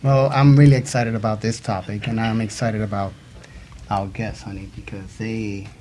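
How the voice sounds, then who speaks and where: A man's voice: fairly low pitch, steady tone, moderate pace, mellow, a little hiss or hum. A man co-hosting a talk show in a casual style.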